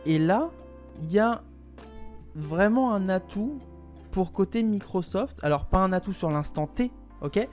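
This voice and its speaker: A man's voice: high-pitched, animated, a little slow, a little mellow, soft background music. A man hosting a casual live stream, rambling with fillers and talking to his chat. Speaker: streamer and show host.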